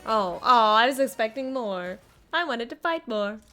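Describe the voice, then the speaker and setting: A woman's voice: a little high, animated, measured pace, bright, forceful tone. A woman streaming a video game, reacting live in a playful, exclamatory way. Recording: game music behind voice. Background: game music.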